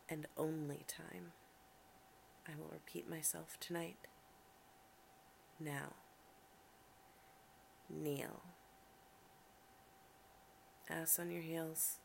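A dominant female partner acted by a woman speaks in an intimate roleplay, affectionate yet in command. Her voice is slightly low and gravelly, and she takes her time with deliberate control. There is some background noise on the recording. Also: full character voice. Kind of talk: intimate solo audio roleplay addressed to the listener.

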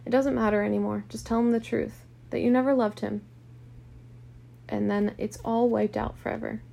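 A woman voicing an earnest, polite but insistent gentleman in love, with a controlled manner, in an audiobook story. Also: on the high side, steady tone, normal speed, vocal fry.

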